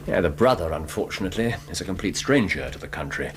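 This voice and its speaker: A keen, commanding English gentleman detective in a radio drama. His low voice swoops with lively bursts of precise speech. Noisy ambience sits behind him. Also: fast talker. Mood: animated, pleased.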